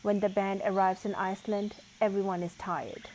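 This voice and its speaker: A woman's voice: mid-range voice, little pitch movement, moderate pace, darkish tone, slight background noise. A woman reading a children's picture book aloud as a storyteller.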